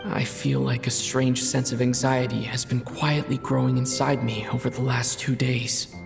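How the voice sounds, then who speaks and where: An actor playing a reflective young male traveler in a video game, speaking quietly and introspectively. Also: mid-range voice, moderate pace, creaky, music in the background. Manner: quiet, introspective, even-toned.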